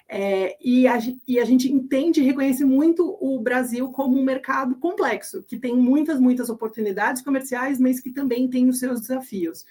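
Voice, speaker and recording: A woman's voice: fairly high pitch, mellow. Earnest Brazilian woman on a panel. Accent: Brazilian Portuguese. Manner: earnest, self-correcting. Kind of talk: panel remarks.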